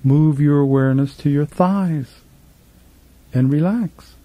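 Man, meditation guide, very soft dark tone, unhurried, soothing, repetitive gentle instructions, dry studio sound. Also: a little high, no room sound. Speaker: meditation guide, spiritual teacher. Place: professional studio.

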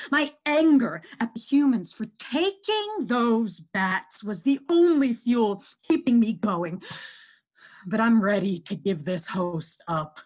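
A woman playing a boastful, menacing virus in a theatrical, drawn-out stage monologue. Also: medium pitch. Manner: theatrical, drawn-out, gloating, swinging from outbursts to hushed menace. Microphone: computer microphone, close webcam framing.

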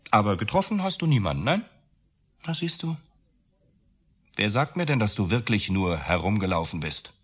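A man's voice: lowish voice, very animated, moderate pace, long pauses, slightly rough. An actor playing a bitter, cornered, sarcastic man accused of murder in a radio drama. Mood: sarcastic, accusing. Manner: sarcastic, accusatory, rhetorical questions. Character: Max, accused architect, bitter, volatile, cornered lover. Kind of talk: German radio drama acting.

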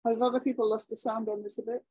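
A woman teacher calmly moderating an online class on a video call. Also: fairly high pitch, fast talker, slightly rough, no room sound.

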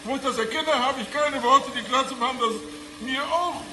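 Radio crime drama: trapped sarcastic captive, piercing high voice, agitated, echoey room. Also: male voice, moderate pace. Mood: pleading. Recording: echoey room with faint noise.

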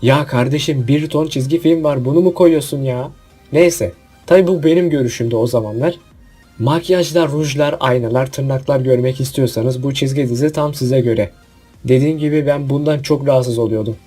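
Mellow, husky man over faint music. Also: mid-range voice, normal speed. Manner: opinionated, jokey asides with rhetorical questions. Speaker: video host. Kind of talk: casual list-video commentary.

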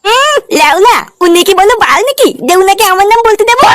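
A woman's voice: extremely high pitch, big pitch swings, fast talker, fairly bright tone, heavily acted. A woman voicing a foul-mouthed, whining and defiant little schoolboy in a comedy cartoon. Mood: sulky, defiant.

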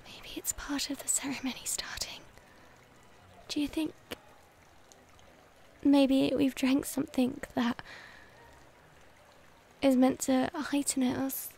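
A woman playing a shy, devoted young werewolf girlfriend in an intimate ASMR roleplay, speaking hesitantly and tenderly in a breathy voice that often drops toward a whisper. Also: high-pitched, flat delivery, a little mellow, slight background noise. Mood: tentative, wondering. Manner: hesitant, tender, halting delivery.

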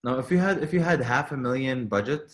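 A man's voice: mid-range voice, very fast, heavy background noise. Chatty young man on a video call. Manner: rambling, animated, many restarts. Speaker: car content creator guest. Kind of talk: casual chat on a video call.

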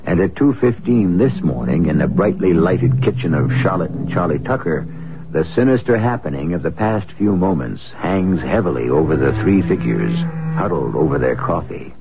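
A man's voice: low-pitched, expressive, normal speed. A man hosting a radio mystery with scripted, theatrical, suspenseful narration aimed straight at the listener. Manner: theatrical, ominous, addresses the listener. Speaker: radio drama host and narrator. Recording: narrow old radio broadcast, loud music.

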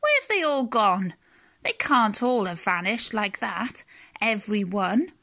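A woman's voice: medium pitch, sing-song, a little slow, creaky, sharp tone, heavily acted. A woman voicing a big, mean, greedy shark in a bedtime story, sly and wheedling, with a sneering false sweetness. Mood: grumbling, scheming.

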